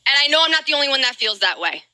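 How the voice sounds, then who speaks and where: A woman with a high, very sharp, forceful voice talks casually to her viewers. She is emphatic and opinionated, repeating phrases, and the sound is dry with no room around it. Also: big pitch swings, racing, dry recording. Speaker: Twitch streamer.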